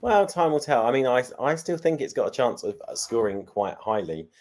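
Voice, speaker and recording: A man's voice: medium pitch, sing-song, brisk, darkish tone. A man hosting a fan panel, chatty and repeating himself as he hands questions to the others. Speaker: panel host. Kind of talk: casual panel chat.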